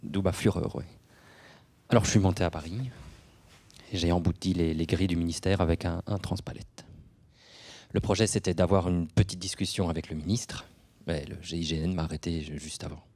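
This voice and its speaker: An actor playing a shy, earnest, fed-up male nurse in a radio drama, halting and stuttering. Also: sits low, fairly even intonation, a little mellow, husky. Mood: earnest, aggrieved. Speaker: nurse in a support group. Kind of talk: naturalistic radio drama acting.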